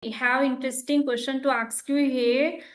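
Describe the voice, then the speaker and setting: Hesitant high-voiced woman. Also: steady tone, moderate pace. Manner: hesitant, searching for words. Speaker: learner asking questions. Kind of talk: conversational English practice.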